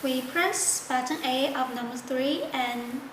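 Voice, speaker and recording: Woman demonstrator, high voice, halting non-native phrasing, step-by-step instructions, echoey noisy recording. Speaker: product demonstrator. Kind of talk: step-by-step tutorial narration. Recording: echoey room with loud background noise.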